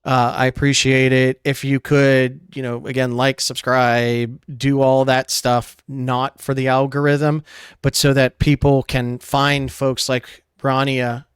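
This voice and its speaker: A male podcast host, chatting casually and joking freely with his guest. His voice is smooth and resonant, recorded dry and close with no room sound. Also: mid-range voice, flat delivery, slow pace, no room sound. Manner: jokey, laughs easily. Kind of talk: casual interview chat.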